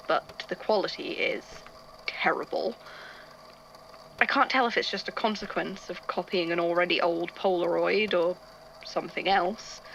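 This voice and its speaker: A woman's voice: lowish voice, fairly bright tone, slight background noise, poor audio. A woman playing an irritable, bookish male archivist in an audio drama, speaking hesitantly into a recorder and thinking aloud. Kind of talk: audio drama narration, recorded case notes.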